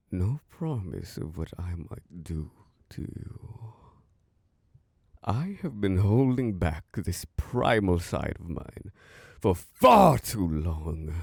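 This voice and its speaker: A man voicing a gravelly, whispering vampire lord. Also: no echo, clean studio sound, full character voice. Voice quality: deep, gravelly, husky. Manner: slow, hushed, drifting into whispers. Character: obsessive, predatory male vampire lord, charming turning dominant. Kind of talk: ASMR audio roleplay.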